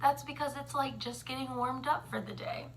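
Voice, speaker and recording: A woman, chatting casually to camera in a slightly raspy morning voice, full of ums and likes. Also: high-pitched, normal speed, slight background noise. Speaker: vlogger.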